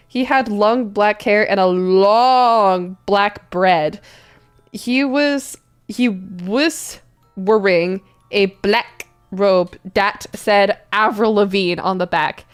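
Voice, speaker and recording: A woman's voice: mid-range voice, clean tone. A woman giving an exaggerated, theatrical dramatic reading of badly written fan fiction, misspellings and all. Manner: exaggerated, theatrical delivery that keeps deliberate misspellings. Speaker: streamer doing dramatic readings.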